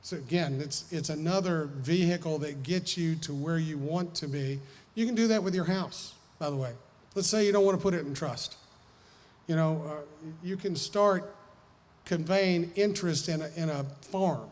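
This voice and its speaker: A man's voice: fairly high pitch, moderate pace, darkish tone. A man with a Southern American accent giving an informal, folksy, explanatory seminar talk as a lawyer. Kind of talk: informal lecture with audience questions. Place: large hall, speaking to an audience. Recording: echoey hall, noisy background.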